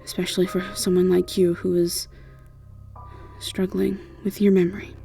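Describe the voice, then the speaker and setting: A woman's voice: lowish voice, little pitch movement, normal speed, gravelly, warm tone, faint music behind. A woman voicing a caring, guilt-ridden young woman mentor in an audio roleplay, earnest and apologetic. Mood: gentle concern.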